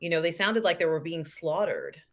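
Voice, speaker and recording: A woman's voice: on the low side, sing-song, fairly quick. A woman co-hosting a casual chat show, sharing her own takes in everyday conversation. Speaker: co-host.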